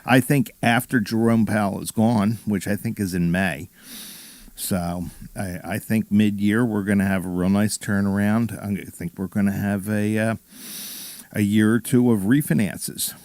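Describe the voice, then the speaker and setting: Low-voiced, matter-of-fact man. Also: fairly even intonation, measured pace, a little mellow, dry recording. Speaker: mortgage lender guest. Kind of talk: casual talk radio chat.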